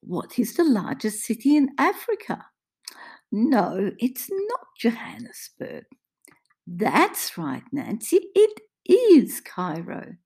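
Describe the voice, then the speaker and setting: A woman's voice: fairly high pitch, huge pitch swings, takes it slowly, a little mellow, husky, voice pushed up, full character voice. A woman voicing a condescending care worker who croons with sing-song cheer. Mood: patronizing cheer.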